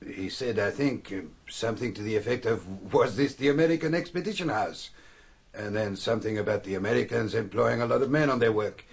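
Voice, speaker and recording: An actor playing a reserved, polite scholarly priest in a radio drama, speaking carefully and formally with some hesitation. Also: male voice, on the low side, fairly quick, gravelly, slightly rough. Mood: careful, uncertain.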